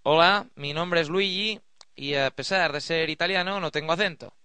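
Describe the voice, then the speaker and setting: An upbeat male announcer reads a parody commercial. His tone is bright and smooth, and the recording is dry with no room sound. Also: fairly high pitch, moderate pace, dry recording. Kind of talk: parody ad narration.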